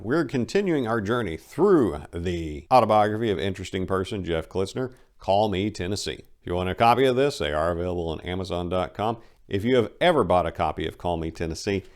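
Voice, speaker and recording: A man reading a memoir aloud as host, with a theatrical, emphatic delivery. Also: low voice. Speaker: channel host and reader.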